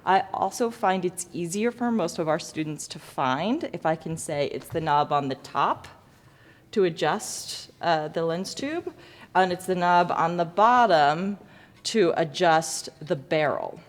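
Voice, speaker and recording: A woman's voice: on the low side, animated, unhurried. Woman instructor in a reverberant hall. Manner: hesitant, self-correcting, good-humored. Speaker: lighting design instructor. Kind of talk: informal hands-on demonstration to students. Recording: echoey reverberant hall, background noise.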